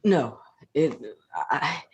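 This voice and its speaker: A woman playing an anguished, stubborn young scientist in a staged gothic reading, intense and halting. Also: low voice, animated, darkish tone, husky, full character voice, low-quality recording. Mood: evasive, troubled. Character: Victor, anguished, grief-stricken young scientist, stubborn and wild.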